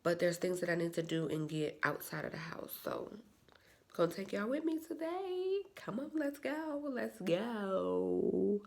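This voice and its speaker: A woman's voice: medium pitch, very animated, a little slow, vocal fry, a little mellow, slight background noise. A woman vlogging casually, chatty and rambling, and nasal and stuffy from a cold. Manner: chatty, rambling, uses slang. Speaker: vlogger and soldier. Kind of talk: casual vlog chat.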